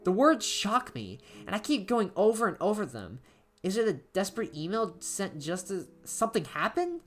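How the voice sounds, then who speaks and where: A woman playing an anxious, isolated diarist in a horror story, reading in a halting, emotive way with music behind her. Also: mid-range voice, big pitch swings. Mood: shocked, unsettled. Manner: halting, emotive, with stammered repeats. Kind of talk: dramatic first-person horror story narration. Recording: background music under voice.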